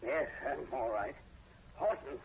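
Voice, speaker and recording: A man's voice: mid-range voice, expressive, takes it slowly. An actor playing a fatherly, anxious professor, mild and hesitant, in 1940s radio horror style. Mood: shaken, grave. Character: gentle, fatherly male professor, soothing but anxious. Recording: muffled, hissy 1940s radio recording.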